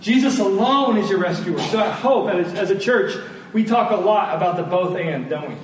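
A roomy, slightly noisy recording of a man delivering a sermon. He is a pastor with a high-set voice, earnest and emphatic, repeating his key phrases for weight. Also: lively intonation, moderate pace. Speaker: pastor preaching to his church. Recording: roomy, distant mic, faint noise.

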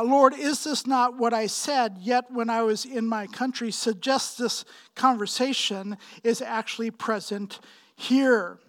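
A man in his fifties from Pakistan preaching a sermon, with emphatic, deliberate phrasing and frequent repetition. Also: extremely high pitch, a bit bright. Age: 50s. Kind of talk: sermon, expository preaching with personal anecdotes.

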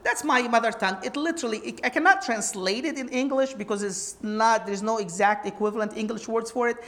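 High-voiced woman preaching emphatically. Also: fairly quick. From Egypt. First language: Arabic. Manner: emphatic, animated preaching with rhetorical questions. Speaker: Bible teacher. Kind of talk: sermon-like teaching talk.

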